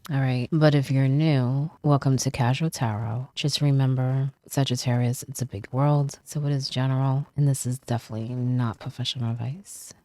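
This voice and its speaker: A woman giving a casual tarot reading, chatty, with frequent fillers, trailing pauses and light laughs, and sounding stuffy from a cold. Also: very deep voice, steady tone, warm tone, no room sound. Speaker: tarot reader.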